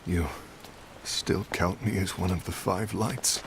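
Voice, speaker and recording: An actor playing a proud, bitter warrior in an animated fantasy game, brooding and defiant. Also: male voice, low voice, takes it slowly, noisy background. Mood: weary, grudging. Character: proud, bitter male warrior who feels betrayed by comrades.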